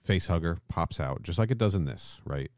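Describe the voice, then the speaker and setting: A man with an extremely low, deep voice chats as a podcast host. He is informal and a bit sweary, recorded dry with no echo around him. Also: animated, normal speed. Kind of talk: casual podcast discussion.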